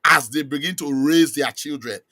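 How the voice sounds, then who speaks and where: A man's voice: fairly high pitch, normal speed, bright, forceful tone. A man from Nigeria hosting an interview and asking earnest, probing questions. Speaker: host.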